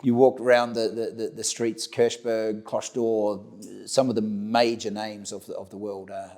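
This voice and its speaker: A man's voice: lowish voice, little pitch movement, moderate pace, darkish tone. A middle-aged man with a New Zealand accent, answering interview questions conversationally, often repeating words and restarting as he goes. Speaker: interview guest, company director.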